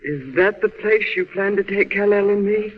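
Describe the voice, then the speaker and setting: A woman's voice: medium pitch, moderate pace, close to an everyday voice. A woman acting in a 1940s radio melodrama, playing a devoted, anxious alien wife and mother with a pleading manner. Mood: tender, worried. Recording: dull, very noisy old radio recording.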